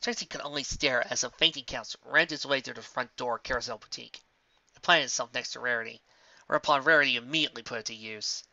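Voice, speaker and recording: A woman narrating a story in an expressive amateur reading style. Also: a bass voice, fast talker, no room sound, highs cut off.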